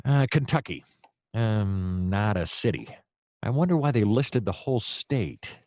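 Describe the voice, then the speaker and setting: Hapless noir gumshoe played by a man, low pitch, dry close sound, excitable and rambling, comic radio-play delivery. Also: measured pace, dry recording. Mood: eager, defensive. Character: hapless, excitable noir private detective, a man named Dirk.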